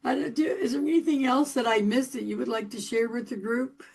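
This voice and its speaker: A woman's voice: fairly high pitch, brisk, vocal fry, slightly rough. A woman in her eighties hosting an interview, chatty and friendly, laughing often. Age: 80s. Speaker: podcast host. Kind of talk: casual interview talk.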